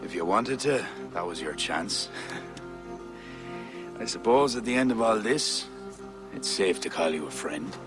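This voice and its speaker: A proud king, now formal and contrite with a wry edge. His voice sits lowish, and soft music plays under him. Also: lively intonation, unhurried, pauses often. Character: proud Irish king, humbled and contrite, adult. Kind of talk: game cutscene acting.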